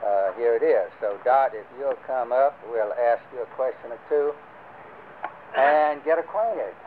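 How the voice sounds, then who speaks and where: An older man leading a church group, talking casually with many pauses and fillers. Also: medium pitch. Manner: rambling, many fillers and restarts. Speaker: church class leader. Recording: lo-fi phone-like recording with faint hiss.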